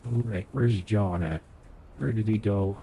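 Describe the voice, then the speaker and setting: Low, nasal young man with a cold. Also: unhurried, gravelly, mellow, a little hiss or hum. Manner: chatty, thinks aloud while playing. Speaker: streamer. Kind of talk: live game playthrough commentary.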